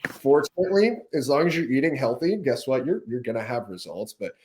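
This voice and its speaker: Animated young man as a podcast guest. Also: mid-range voice, brisk. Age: 20s. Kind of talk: casual conversational podcast.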